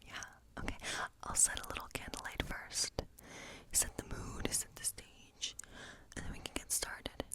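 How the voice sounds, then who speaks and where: A woman playing a hospitable, eccentric woodland witch in an ASMR role play, speaking in a breathy whisper with a slow, coaxing, reassuring, rambling manner. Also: lightly acted. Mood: cozy, modest. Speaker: ASMR performer.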